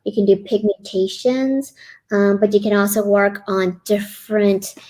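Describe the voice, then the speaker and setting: A woman's voice: mid-range voice, fairly even intonation, unhurried, mellow, low-quality recording. Middle-aged woman explaining skin treatments. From Kentucky, USA. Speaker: guest, cosmetic physician assistant. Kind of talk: interview guest explaining her work.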